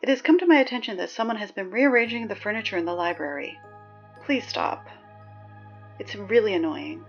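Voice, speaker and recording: A young woman playing a wry, peppy teenage girl who reads high school announcements in a chatty, sardonic, emphatic way for a scripted audio drama. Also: lively intonation, brisk, creaky, lo-fi sound, soft background music. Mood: annoyed.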